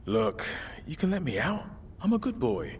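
An actor playing a cheeky, unflappable survivor in a game cutscene, with a wry, bantering delivery. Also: male voice, a little high, big pitch swings, moderate pace, background noise. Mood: cheeky, coaxing. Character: cheeky, roguish, unflappable man, a wounded survivor. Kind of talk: dramatic video game cutscene acting. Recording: music underneath.

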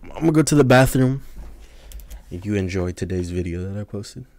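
A man's voice: sits low, expressive, brisk, vocal fry, a little mellow. Casual young man streaming a game. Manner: casual, rambling, talks to chat while playing. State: recovering from lost voice. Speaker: gaming streamer. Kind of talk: casual livestream commentary.

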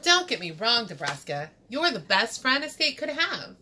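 A woman playing a whiny, high-pitched, restless storybook character. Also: very animated, moderate pace, pushed and bright, a little hiss or hum, full character voice.

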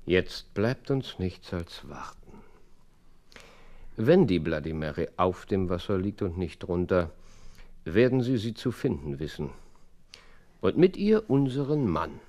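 An actor playing a brilliant, self-assured detective in a German audio drama, theatrical, commanding and slightly teasing. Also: male voice, low voice, very animated, unhurried, pauses often, warm tone, heavily acted. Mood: brooding.

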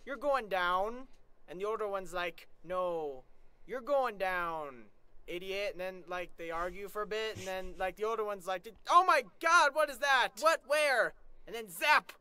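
A man's voice: high-pitched, unhurried, bright, forceful tone, clear, resonant tone, dry recording, heavily acted. A man voicing a chatty, easily flustered young pony in a casual cartoon parody, with a rambling, awkward delivery. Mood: flippant, rambling.

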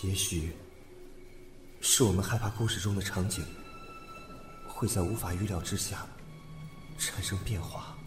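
A man acting in a Chinese audio drama as an earnest, thoughtful young man, expressive and musing. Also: low-pitched, measured pace, pauses often, over music. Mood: pondering.

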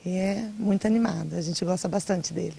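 A young woman answers interview questions outdoors. Her voice is on the low side and her manner is casual and chatty. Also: a little fast, gravelly, warm tone, husky. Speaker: marketing manager of a charity. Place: outdoors by a brick wall.

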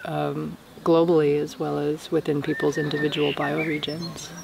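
A young woman from the United States, an herbalist and permaculture teacher, speaking in an earnest, passionate and measured way outdoors in a rural field. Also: low-pitched, little pitch movement, creaky. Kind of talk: casual interview talk. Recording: outdoor countryside noise. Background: birds in the countryside.

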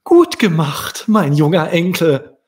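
A man voicing a kindly old ladybug grandmother in a children's story, gently encouraging and reassuring. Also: fairly high pitch, very animated, slow, voice pushed up. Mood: warm, praising.

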